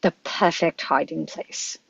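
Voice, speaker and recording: Woman playing a husky, wry eccentric doctor. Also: low voice, steady tone, unhurried, fairly bright tone, dry recording, exaggerated voice. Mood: wry, musing. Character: eccentric, quick-witted male adventurer doctor. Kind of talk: script table read. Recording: dull lo-fi microphone.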